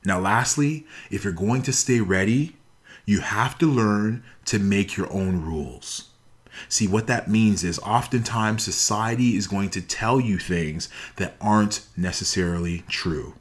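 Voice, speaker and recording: Low-voiced man giving an emphatic motivational talk. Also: measured pace. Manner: emphatic, repeats key phrases for emphasis. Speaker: motivational speaker.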